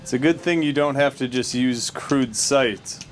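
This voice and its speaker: A man chatting about a game in a casual, dry-humored way, with a mid-pitched voice and a faint noise underneath. He teases his friend and reads out mission text now and then. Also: a little slow. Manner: dry, teasing. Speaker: co-commentator watching a game. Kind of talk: casual let's-play commentary.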